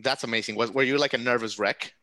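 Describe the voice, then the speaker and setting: A man's voice: medium pitch, steady tone, quick pace, bright, forceful tone, dry recording. A man hosting an interview show, chatty and enthusiastic, often restarting his sentences. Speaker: podcast host. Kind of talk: casual interview chat.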